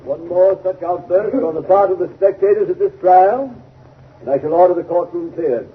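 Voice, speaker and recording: An actor playing a stern, commanding judge in a 1930s radio drama, with a formal, authoritative manner. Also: male voice, high voice, moderate pace, lightly acted. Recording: noisy, narrow-band 1930s radio broadcast.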